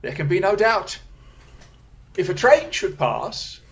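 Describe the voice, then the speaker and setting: A keen, assured gentleman detective as acted out in an audio drama, speaking in formal, precise phrases. He takes command with theatrical confidence, at a medium pitch. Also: very animated, slow, vocal fry, muffled recording, a little hiss or hum, exaggerated voice. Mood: tense, excited.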